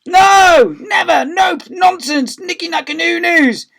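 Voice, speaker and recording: A man's voice: sits high, sing-song, measured pace, fairly bright tone, pitched above the performer's own voice, exaggerated voice. A man voicing a loyal woman school secretary from a children's story, protective, scolding and exclaiming. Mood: protesting.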